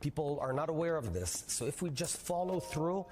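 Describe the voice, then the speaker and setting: Guy in a tv interview clip, slow careful answers lots of uh, music clearly playing behind. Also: fairly high pitch, animated. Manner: measured, hesitant with many fillers.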